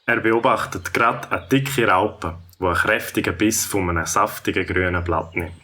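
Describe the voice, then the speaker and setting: Male storyteller for a kids' audio play: Swiss German, lowish, crisp-edged tone, birdsong behind. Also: normal speed, bright, forceful tone, slight background noise. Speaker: narrator. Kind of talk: scripted children's story narration.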